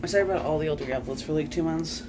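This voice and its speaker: A man's voice: a little high, racing. Man rambling bluntly over background noise. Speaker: vlogger. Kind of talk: casual rambling vlog chat. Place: bedroom at home. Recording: noisy home recording with clicks.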